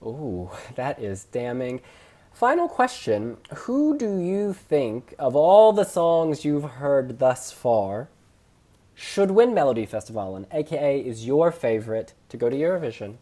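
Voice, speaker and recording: Man, relaxed dark tone, expressive phrasing, casual reviewer and host. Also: mid-range voice, measured pace. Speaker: host reviewing song contest entries. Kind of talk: casual opinion chat.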